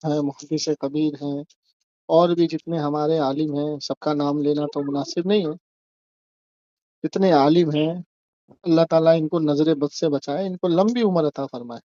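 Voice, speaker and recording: A man's voice: a little high, fairly even intonation, moderate pace, warm tone, no room sound, muffled recording. A man, talking on the phone as a religious scholar who takes calls from the public. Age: 40s. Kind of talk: phone conversation, religious commentary.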